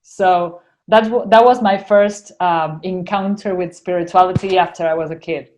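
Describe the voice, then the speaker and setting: A woman's voice: on the low side, normal speed, a little mellow. Chatty woman with an Argentinian accent. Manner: chatty, many fillers and restarts. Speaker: podcast guest. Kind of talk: casual interview conversation.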